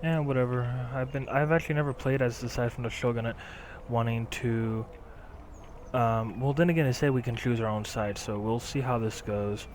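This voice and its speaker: A man's voice: medium pitch, fairly even intonation, normal speed, a little mellow, slight background noise. A young man hosting a gaming channel, casually thinking aloud as he plays. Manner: musing, thinking aloud while clicking. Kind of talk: casual gameplay commentary. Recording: game music behind.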